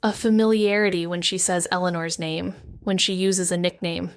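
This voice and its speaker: A woman's voice: fairly low pitch, level tone, moderate pace, clean tone, dry recording, natural voice. A cautious young woman detective, played by an actress, narrates in a wry, thoughtful first-person voice. The recording is close and dry, with no room sound. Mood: reflective. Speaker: narrator and lead character. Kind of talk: noir audio drama narration.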